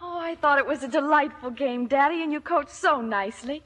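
An actress playing a gushing, love-struck teenage daughter in mid-century radio comedy, sweet and effusive. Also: female voice, sits high, fairly quick, a bit bright. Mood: sweet, excited. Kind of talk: 1940s-50s radio comedy acting. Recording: vintage radio broadcast.

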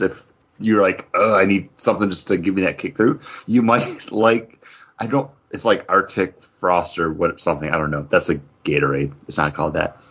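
A man's voice: low-pitched, fairly bright tone, husky. A man co-hosting a podcast in casual, bantering conversation. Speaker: podcast co-host. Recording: dull lo-fi recording.

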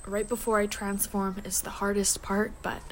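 A woman's voice: medium pitch, level tone, moderate pace, a little hiss or hum, lightly acted, low-quality recording. An actress playing a terse, short-tempered and protective werewolf woman in a supernatural audio drama. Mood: tense, brooding.

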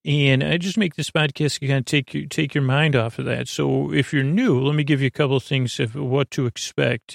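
A man hosting a bedtime podcast in a creaky voice, soothing and lulling, with a halting, stammering, meandering way of talking. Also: mid-range voice, dry recording, studio quality. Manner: halting, stammering, trailing into tangents; soothing, lulling, gently whimsical. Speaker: host of a bedtime story podcast. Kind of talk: rambling, meandering sleep-podcast monologue.

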